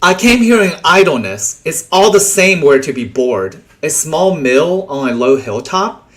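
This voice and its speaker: A man reading translated poems aloud in a deliberate way, pausing between short phrases. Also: a little high, sing-song, a bit bright. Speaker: poetry reader.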